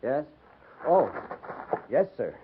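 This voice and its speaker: A muffled old radio recording of a young cavalry private. He sounds sheepish, hesitant and deferential, with pitch that moves around a lot, in 1950s drama style. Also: big pitch swings, takes it slowly, a little hiss or hum.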